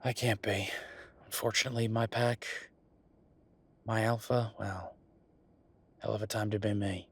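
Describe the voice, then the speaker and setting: A man performs an affectionate, wry werewolf bureaucrat in an intimate audio roleplay. His voice is husky and on the low side, with little rise and fall. He talks casually and haltingly, like an easygoing boyfriend chatting at the office. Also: little pitch movement. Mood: wry, resigned.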